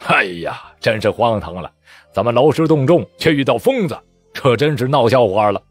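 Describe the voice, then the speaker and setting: A man voicing a scornful army officer with a sneering, husky voice. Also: on the low side, sing-song, fast, faint music behind. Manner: sneering, dismissive.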